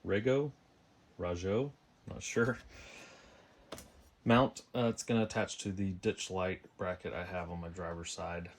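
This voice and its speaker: A man's voice: deep voice, fairly even intonation, measured pace, pauses often. A man giving a casual, unscripted how-to as a DIY vlogger, thinking aloud as he goes. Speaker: DIY vlogger installing a car radio.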